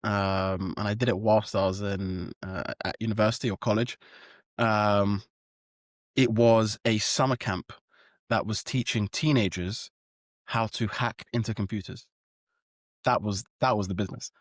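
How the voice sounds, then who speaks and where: A young man with a London accent speaks as a founder guest, relaxed and a little halting. His voice sits on the low side. The mic is close, with no room sound. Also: dry recording. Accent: British, London. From born in France, grew up in London. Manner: relaxed, hesitant, many ums and restarts. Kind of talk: casual interview.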